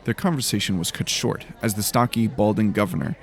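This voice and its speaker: A man's voice: fairly low pitch, fairly quick, creaky. A man narrating a scripted fantasy story in a dramatic storytelling style.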